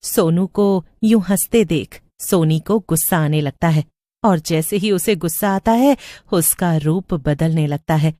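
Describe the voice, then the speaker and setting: A woman narrating a cartoon horror story in a steady storybook manner. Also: on the low side, measured pace, dry recording, studio quality.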